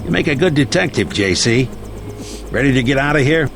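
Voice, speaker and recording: An actor playing a brisk, dependable helicopter pilot in a video game. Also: male voice, medium pitch, lively intonation, noisy background, close to an everyday voice. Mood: urgent. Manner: brisk, matter-of-fact.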